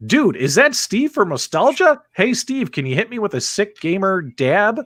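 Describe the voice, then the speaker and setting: A man hosting a casual livestream countdown, excitable and teasing, with lots of exclamations. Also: high-pitched, moderate pace, no room sound, clean studio sound. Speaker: live stream host. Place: online group video stream.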